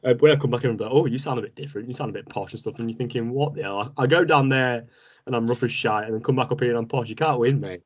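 A man's voice: medium pitch, very fast, breathy edge, muffled recording. Young Manchester man chatting casually. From northern England. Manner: chatty and joking, laughs often. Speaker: podcast co-host. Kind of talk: casual chat between friends.

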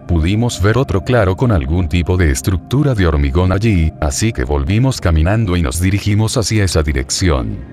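Male horror narrator, low voice, scripted first-person storytelling with clear background music. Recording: music bed under the voice.

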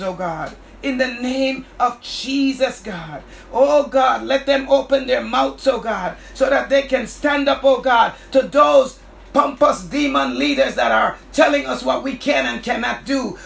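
A man's voice: very high voice, creaky, a bit bright. A man preacher praying fervently in a charismatic style, crying out and punctuating phrases with repeated interjections. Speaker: preacher leading prayer. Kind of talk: fervent charismatic prayer with speaking in tongues. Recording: echoey room sound.

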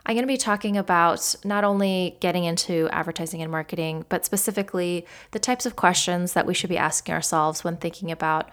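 A woman's voice: lowish voice, brisk, hardly any pauses, a bit bright. A woman hosting an advertising career podcast, a little under the weather, chatty and upbeat. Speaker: podcast host. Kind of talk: podcast interview.

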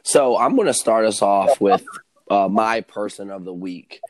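A man co-hosting a casual sports podcast, a little hoarse, reeling off standings with excitement. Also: on the low side, unhurried, rough recording. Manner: excited, rattles off stats. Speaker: podcast co-host.

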